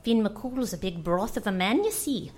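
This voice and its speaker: A woman's voice: medium pitch, animated, normal speed, darkish tone, slight background noise, natural voice. A woman playing a sly, coaxing giant's wife.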